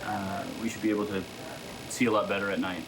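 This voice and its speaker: A soldier explaining a piece of equipment in a matter-of-fact way. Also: male voice, fairly low pitch, little pitch movement, fast, noisy background.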